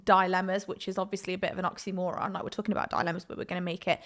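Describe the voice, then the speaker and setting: Woman podcast host, creaky voice, chatty, laughs a lot, keeps cutting herself off. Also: on the low side, little pitch movement, very fast. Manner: chatty, laughs often, self-interrupting. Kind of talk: casual chat.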